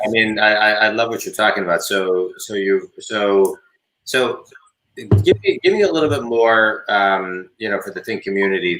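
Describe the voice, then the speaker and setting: A man's voice: fairly low pitch, sharp tone, reverberant, rough recording. A man in his forties hosting a business interview show, eagerly asking questions and often adding "right?" and "so". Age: 40s. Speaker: host.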